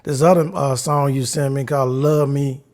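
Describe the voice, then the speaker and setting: A man hosting a podcast interview, easygoing and quick to affirm his guest. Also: mid-range voice, steady tone, darkish tone. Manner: easygoing, with frequent affirmations and laughs. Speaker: podcast host.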